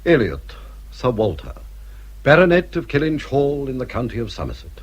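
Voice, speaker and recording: An actor playing a vain, snobbish middle-aged English baronet in a radio drama, pompous and fussy. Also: male voice, mid-range voice, big pitch swings, moderate pace, slightly rough. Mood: self-satisfied.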